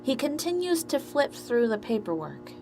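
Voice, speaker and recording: A woman's voice: high voice, sing-song, normal speed, music in the background. A woman reading scripted narration for an amateur audio drama story.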